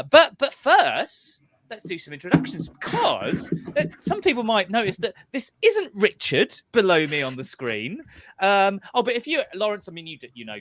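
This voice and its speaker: A man's voice: high voice, a bit bright. A man hosting a casual online chat show over a video call, teasing his guests between lots of fillers and restarts. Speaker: host.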